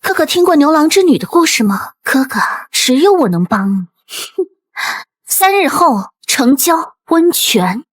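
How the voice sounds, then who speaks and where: A woman voicing a vain, scheming young woman in an animated drama, boastful, theatrical and petulant. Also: high voice, a little slow. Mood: scornful, coaxing. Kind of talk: dubbed animated short drama, scripted.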